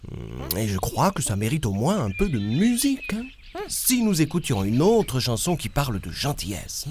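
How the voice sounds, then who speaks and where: A man's voice: medium pitch, huge pitch swings, moderate pace, background noise. A man playing a patient storyteller in a children's cartoon, telling Bible stories expressively over music. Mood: warm approval. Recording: music behind the voice.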